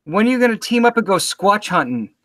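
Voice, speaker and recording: A man's voice: high voice, sing-song, fairly quick, a bit bright, no room sound. A man in his late thirties hosting a casual livestream chat, joking and self-deprecating. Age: 30s. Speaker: livestream show host.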